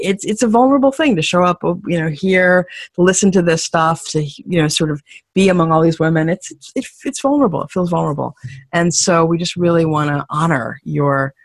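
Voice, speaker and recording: Husky co-host voice on a call. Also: medium pitch, no echo. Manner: steady backchannel, affirming, gentle laughs. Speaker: co-host and dating coach. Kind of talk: conversational teaching on a video call. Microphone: video call.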